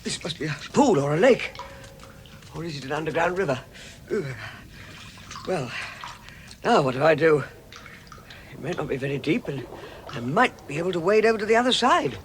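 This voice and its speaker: Timid hobbit, highish voice, animated pitch, fussy, flustered, radio play. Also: male voice, moderate pace, pauses often, slight background noise. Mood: startled, dismayed. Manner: fussy, flustered, stammering, exclaiming. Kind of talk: vintage radio drama acting, first-person recollection.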